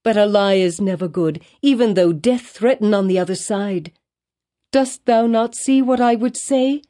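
A desperate young woman pleads in old-fashioned formal speech, her voice lifted high and strained, as a woman narrator puts her on. It sounds like a storybook reading with a mellow tone underneath. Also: animated, takes it slowly. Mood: urgent.

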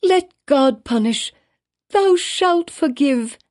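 A woman's voice: high voice, expressive, slow, darkish tone, voice pushed up. A woman voicing a desperate young woman in a storybook, pleading for forgiveness in old-fashioned formal speech.

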